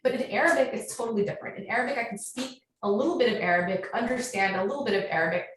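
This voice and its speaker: A woman with an American accent answering questions as a guest author in a casual, animated, chatty way that leans on "like". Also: on the high side, rapid-fire. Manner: animated storytelling with frequent "like". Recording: very echoey, distant, faint noise.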